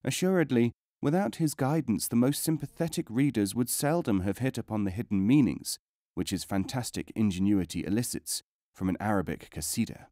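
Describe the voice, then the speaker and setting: A man reading non-fiction as a scripted audiobook narration, in a measured, formal way with careful enunciation. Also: medium pitch, animated, dark tone, no echo, studio quality.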